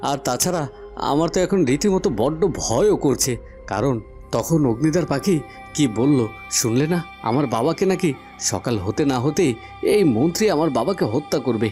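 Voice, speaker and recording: A timid, earnest young prince from a cartoon tale, acted by a man. His voice is on the high side and hesitant. Faint music plays underneath. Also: lively intonation, moderate pace, darkish tone, lightly acted. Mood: afraid. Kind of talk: Bengali cartoon voice acting. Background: faint background music.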